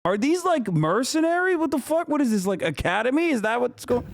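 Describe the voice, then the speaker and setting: A man's voice: very high voice, big pitch swings, a little fast, no room sound. A man in his thirties streaming, reacting in a profane, incredulous, slangy way. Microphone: streaming desk mic.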